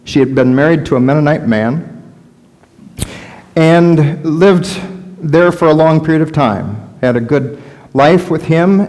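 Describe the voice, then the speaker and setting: A middle-aged man with a Midwestern American accent preaches through personal storytelling. His voice sits slightly higher than average, and he moves slowly and deliberately, pausing between short phrases. The long ring of a church sanctuary follows his words. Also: darkish tone. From Wisconsin, United States. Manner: deliberate, halting phrases with pauses. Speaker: Lutheran pastor. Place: large church sanctuary, indoors, before a congregation. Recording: reverberant church hall.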